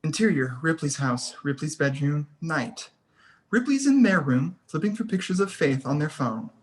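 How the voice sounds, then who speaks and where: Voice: mid-range voice, very animated, moderate pace, poor audio. A person reading scene headings and stage directions as scripted narration for a screenplay table read.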